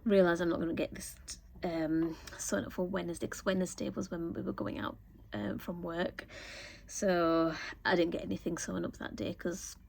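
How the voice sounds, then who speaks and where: A woman, a little croaky from a cold, chatting casually to camera about her sewing week, rambling with lots of ums. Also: lowish voice, moderate pace, darkish tone, slight background noise. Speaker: sewing vlogger.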